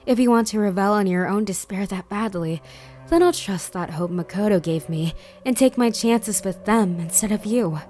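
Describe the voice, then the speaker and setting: A stoic teenage girl, played by a woman, speaks in anime dub style with quiet defiance. Her medium-pitched voice is earnest and matter-of-fact, over soft music. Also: normal speed, lightly acted. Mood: devoted, defiant.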